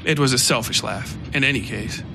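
A man narrating a dark fiction story in a slow, low, brooding voice. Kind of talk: scripted horror fiction narration. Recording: music bed underneath.

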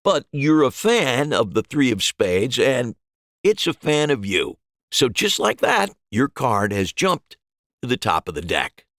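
A man's voice: mid-range voice, sing-song, unhurried, fairly bright tone, dry recording, clean studio sound, pitched above the performer's own voice. A man voicing a cheerful, reassuring magician with upbeat patter in an audio story. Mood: showy patter. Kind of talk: acted dialogue in an audio short story.

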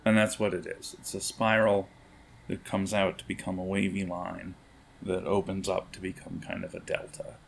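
A man improvising narration as game master of a tabletop game, with a halting, descriptive delivery. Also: low-pitched, flat delivery, vocal fry, slight background noise.

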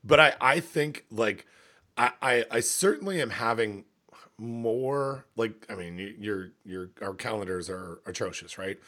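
A man with a gravelly, slightly hoarse voice, rambling and cutting himself off in a casual podcast chat. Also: on the low side, lively intonation, a little slow, pushed and bright. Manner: rambling, self-interrupting. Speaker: podcast co-host, university academic.

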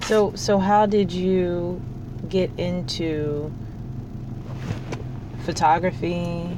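A man's voice: high-pitched, little pitch movement, background noise. A man interviewing a guest, asking short questions and giving brief affirmations. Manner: slow, brief prompts and affirmations. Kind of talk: casual interview.

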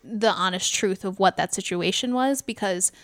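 A woman's voice: mid-range voice, moderate pace, a bit bright. A woman talking casually and earnestly on a podcast as part of a theater company, making her points with emphasis. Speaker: theater company member and stage manager.

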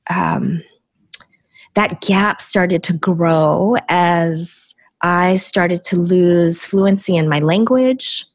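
A middle-aged woman who grew up speaking Korean first tells her story as a podcast guest. She talks slowly, in a low, unhurried voice, and often stops to restart a thought. The sound is dry and close. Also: no room sound. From United States. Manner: thoughtful, halting, with many restarts and fillers. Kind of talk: reflective storytelling interview.

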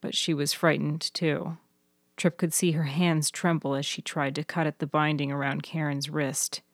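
A woman's voice: sits low, flat delivery, moderate pace, no room sound, clean studio sound. A woman reading scripted fiction as the narrator of an audio story. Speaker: author and narrator.

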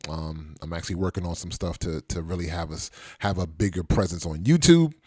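A middle-aged man hosts a casual podcast, his voice sitting low and sounding dry and close. He rambles easily, full of fillers and restarts. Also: lively intonation, a little fast, darkish tone, slightly rough, no room sound. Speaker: podcast host.

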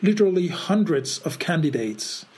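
A fairly high-voiced man from Denmark co-hosts a casual podcast. He talks in a halting way, stumbling over repeated words and restarting his sentences as he searches for the right phrase. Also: sing-song, a little mellow. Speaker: podcast co-host.

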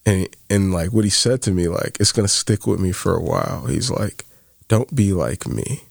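Deep gravelly man in his thirties. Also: moderate pace, no echo, studio quality. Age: 30s. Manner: storytelling, quoting others, many fillers like "like". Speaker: podcast co-host. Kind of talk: casual faith-based podcast chat.

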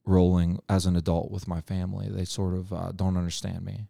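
Low raspy-fry guy, cincinnati, blunt deadpan swearing, dry close mic. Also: fairly quick, dry recording. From Cincinnati area, USA. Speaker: podcast guest, musician. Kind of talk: casual chat.

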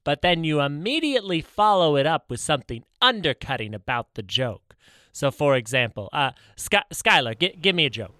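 A man's voice: on the high side, very animated, moderate pace, sharp tone, no echo, clean studio sound. A man co-hosting a comedy podcast with casual banter, speaking in an emphatic, theatrical stand-up comic's way.

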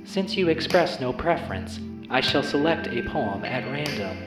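A man voicing the polite automated voice of a house in an audio drama, reciting in a formal, measured, courteous way. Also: mid-range voice, expressive, pitched above the performer's own voice, lightly acted. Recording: music under the voice.

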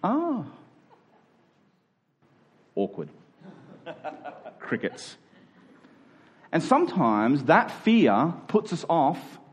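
A man's voice: on the high side, wildly swooping pitch, very slow, pauses often, a little hiss or hum. A man preaching a conversational sermon to a congregation, in colloquial language with emphatic repeated phrases. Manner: colloquial, dramatizing imagined exchanges.

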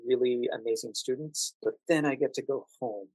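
An American man speaking as an interview guest, telling anecdotes conversationally with drawn-out emphasis on key words. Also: medium pitch, darkish tone, husky, no echo, low-quality recording. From United States. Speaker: podcast guest, actor working as a standardized patient.

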